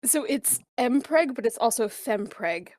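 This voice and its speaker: A woman's voice: on the high side, expressive, measured pace, a little mellow. A young woman co-hosting a podcast, playful and giggly in casual banter. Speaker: podcast co-host.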